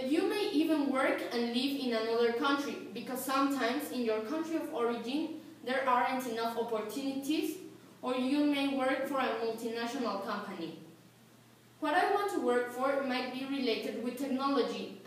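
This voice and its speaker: A woman's voice: high-pitched, normal speed. A teenage girl from Mexico with a Mexican Spanish accent, a native Spanish speaker, reciting a school speech carefully, phrase by phrase. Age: teens. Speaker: student. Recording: echoey room, distant mic, faint hiss.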